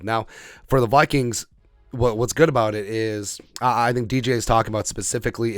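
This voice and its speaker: A man with a fairly low voice talks through football draft opinions as a podcast host. He is emphatic and speaks off the cuff, often restating a point to drive it home. The sound is dry, with very little room to it. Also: no echo.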